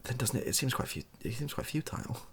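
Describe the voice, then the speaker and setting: A British man softly rambling through an improvised monologue, breathy and often near a whisper, with a wry, self-deprecating, hesitant manner. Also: mid-range voice, expressive, quick pace, a little hiss or hum. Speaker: video host.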